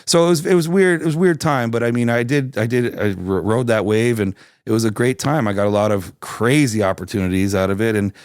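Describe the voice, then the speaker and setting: A raspy-voiced man from Canada, chatting loosely as an interview guest with lots of restarts and fillers. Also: medium pitch, brisk, no room sound, clean studio sound. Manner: rambling, many restarts and fillers. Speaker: singer-songwriter guest. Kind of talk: casual interview chat.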